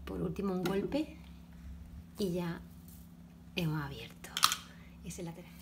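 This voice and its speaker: A woman narrating her own home craft project step by step, talking haltingly as she works in a kitchen. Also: lowish voice, creaky. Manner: halting, talks while working, frequent restarts and soft asides. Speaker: DIY video maker. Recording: echoey room with background noise.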